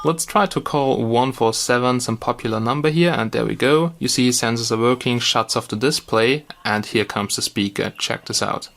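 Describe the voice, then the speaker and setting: A man with a medium-pitched voice reviews a gadget in a casual, unscripted way. He is blunt and informal, often pausing and repeating words as he works through his commentary. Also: creaky, a bit bright, soft background music.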